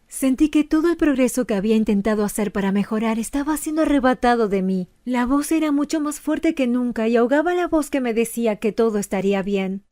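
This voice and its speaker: A woman's voice: a little high, mellow, natural voice. A woman voicing a young female animator who earnestly narrates a painful personal confession for a fan dub.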